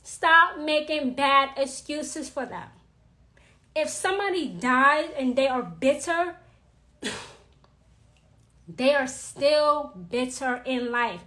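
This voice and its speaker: A woman with a New York accent giving a blunt, emphatic livestream talk as a witchcraft teacher, with a slightly raspy voice and a habit of repeating her points. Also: sits high, takes it slowly, pauses often, pushed and bright.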